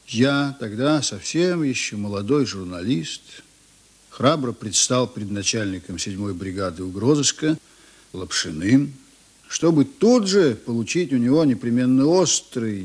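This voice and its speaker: An actor playing a journalist narrator in a radio play, reminiscing in a conversational, musing way with deliberate pauses. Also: male voice, fairly low pitch, darkish tone, husky, heavily acted. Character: reminiscing male journalist narrator, unhurried and intimate.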